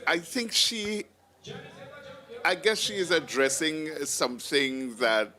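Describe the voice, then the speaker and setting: A man's voice: fairly high pitch, very animated, takes it slowly, pushed and bright. A Grenadian man with a slight Trinidadian tinge, commenting conversationally on a live show, full of "you know"s. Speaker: broadcast commentator.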